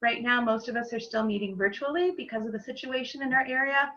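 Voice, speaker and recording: Bright-voiced woman hosting a video call. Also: on the high side, quick pace, highs cut off. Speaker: meetup group host. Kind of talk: hosting a virtual meetup.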